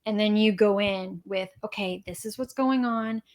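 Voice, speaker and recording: A woman's voice: medium pitch, normal speed. Young woman therapist guest, explains patiently, acts out little examples. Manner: explanatory, acts out example dialogue, frequent affirmations. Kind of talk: casual interview.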